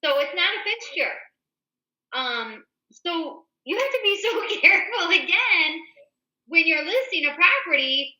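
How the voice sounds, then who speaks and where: Bright, high-voiced woman hosting in echoey room. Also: very animated, a little slow. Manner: emphatic, chatty, addresses listeners directly. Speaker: show host. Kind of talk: casual co-hosted talk, reading a newsletter aloud. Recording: roomy, echoey indoor sound.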